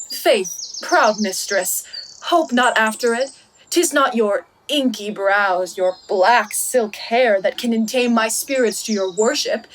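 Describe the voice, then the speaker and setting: A young woman playing a bold, witty young heroine in a Shakespearean radio play, brisk and mocking. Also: fairly high pitch, a little hiss or hum, poor audio. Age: young adult. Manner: brisk, mocking, declamatory verse and prose.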